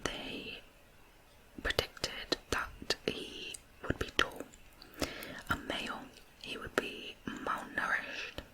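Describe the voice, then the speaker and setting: Casting: whispered true crime narrator for ASMR, hushed and unvoiced, very slow, deliberate phrasing. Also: low-quality recording.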